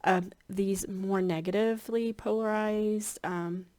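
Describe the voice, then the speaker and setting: A woman's voice: medium pitch, steady tone, slow pace, a little mellow. A woman, a spiritual hypnotherapy practitioner, chats casually to her viewers, a little congested with a slightly scratchy voice, rambling with many ums and restarts. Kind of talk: casual chat to camera.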